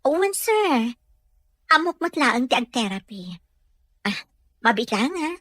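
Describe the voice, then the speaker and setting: Patient, deferential female caregiver for audio drama played by a woman, high pitched, coaxing, respectful. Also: big pitch swings, unhurried, a bit bright, dry recording, clean studio sound, exaggerated voice. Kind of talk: Ilocano radio drama acting.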